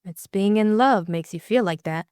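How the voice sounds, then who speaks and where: A woman voicing a witty, teasing young Englishwoman, dry and brisk. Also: medium pitch, darkish tone, smooth, dry recording, lightly acted.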